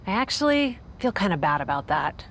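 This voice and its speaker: A woman's voice: on the low side, sing-song, brisk, a little hiss or hum. A woman playing a cocky, sarcastic young pro wrestler in a video game cutscene. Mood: wry, casual.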